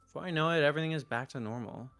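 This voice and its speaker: A man's voice: on the high side, very fast, a bit bright, smooth, faint music behind. A man streaming a game, reading a visual novel's narration and dialogue aloud into a close mic.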